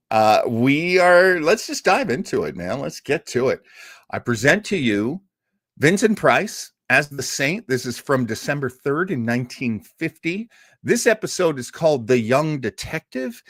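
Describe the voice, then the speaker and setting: A man hosting a casual livestream introduction, speaking informally and a little haltingly. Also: on the low side, fairly bright tone, dry recording, studio quality. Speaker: host.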